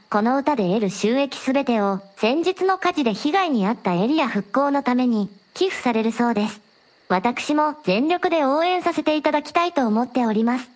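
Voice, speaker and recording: A woman voicing a polite, supportive young princess hosting a livestream in a radio drama, speaking in formal honorifics. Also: high-pitched. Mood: cheerful, formal.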